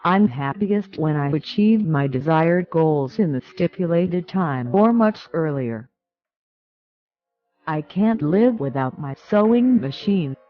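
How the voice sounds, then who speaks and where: A woman from Zimbabwe reading out her pageant answers deliberately. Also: low voice, expressive, dull audio, faint music behind. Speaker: pageant contestant.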